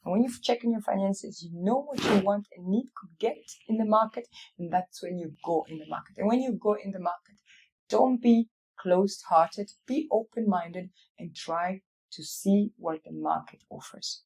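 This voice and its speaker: A woman's voice: mid-range voice, moderate pace, a little mellow, slight background noise, low-quality recording. A woman from Africa speaking as an interview guest in a motivational way, repeating key phrases for weight. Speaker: interview guest, real estate company CEO.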